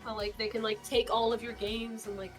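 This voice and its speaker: A woman's voice: on the high side, flat delivery, fairly quick, soft background music. A woman co-streaming a game in casual chat, using lots of "like" fillers and trading teasing banter.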